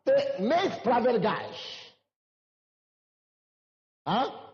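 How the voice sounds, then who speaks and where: High-voiced preacher in a reverberant hall. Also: male voice, big pitch swings, extremely slow, darkish tone. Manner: preaching with rising emphasis and repetition. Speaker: pastor. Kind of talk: sermon. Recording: dull lo-fi hall recording.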